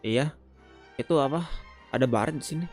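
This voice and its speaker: A man's voice: mid-range voice, fast talker, soft background music. A man with an Indonesian accent giving casual playthrough commentary as he games. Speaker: gamer reacting to gameplay.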